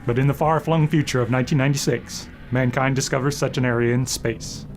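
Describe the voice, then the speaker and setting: A man's voice: medium pitch, fairly even intonation, quick pace, poor audio. A man hosting with a scripted, dramatic introduction to a radio drama. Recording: voice over clear music.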